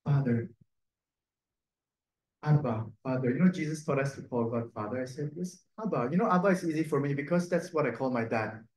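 A man, a priest and native Cantonese speaker, giving a gentle, conversational reflection on the Sunday readings, often adding a questioning "no?". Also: medium pitch, a little fast. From Cantonese-speaking region. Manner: gentle, conversational, tag "no?" and soft laughs. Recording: echoey room.

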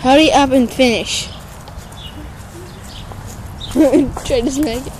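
A woman's voice: high-pitched, takes it slowly. A teenage girl filming on a handheld phone in a backyard, teasing and giggling as she chats. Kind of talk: playful backyard chat. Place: outdoor backyard. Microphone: handheld phone camera. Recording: outdoor phone recording, faint noise.

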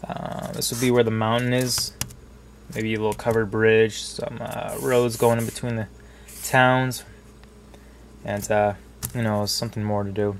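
A young man talks in a low, gravelly voice, thick and congested from a cold. He rambles loosely with plenty of ums and trailing thoughts, recorded on a handheld camera in a basement with a faint hiss behind him. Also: flat delivery, a little slow, pauses often. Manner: rambling, many fillers. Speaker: model railroad hobbyist. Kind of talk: casual hobby video narration. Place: basement hobby room.

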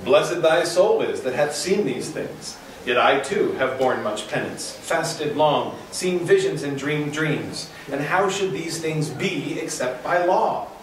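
A man playing a stern, solemn churchman in a dramatic reading of a verse play, with measured, formal declamation. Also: on the high side, lively intonation. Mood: solemn, insistent. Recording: echoey conference room, distant, faint noise.

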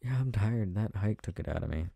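Man as tender, teasing boyfriend for ASMR roleplay; low, very mellow voice, soft and close. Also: expressive, brisk, vocal fry, dry recording. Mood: tender, contented. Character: affectionate, tired, playful boyfriend getting ready for bed. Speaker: audio roleplay performer. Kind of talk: intimate boyfriend ASMR roleplay, casual chat.

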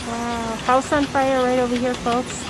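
A woman's voice: fairly high pitch, flat delivery, a little slow, background noise. A woman vlogger narrating a walk through an indoor train museum, exclaiming over every detail. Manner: gushing, exclamatory. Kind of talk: casual walkthrough narration.

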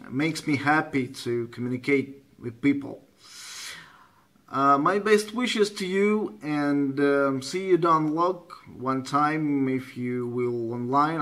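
A man's voice: medium pitch, a bit bright. A man with a Russian accent chatting as a ham radio operator, hesitant and searching for words. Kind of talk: casual radio contact chat. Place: indoors at a computer running radio software.

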